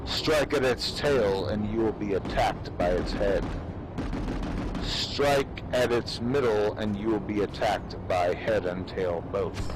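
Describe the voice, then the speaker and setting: Slow, low-voiced man reading solemnly, noisy recording. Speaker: reader performing a dramatic reading. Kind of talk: dramatic reading of an ancient military treatise.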